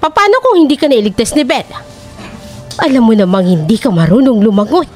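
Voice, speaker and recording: A woman's voice: fairly high pitch, animated, a little fast, a little mellow, lightly acted. A woman voicing a worried, stern queen who scolds her son. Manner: anxious, scolding. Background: faint music.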